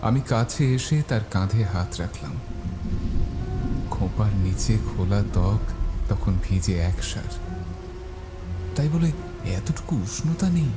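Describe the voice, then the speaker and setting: A man's voice: on the low side, lively intonation, slow pace, long pauses. A man narrating a scripted horror story in a dramatic style. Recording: loud music bed behind. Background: music.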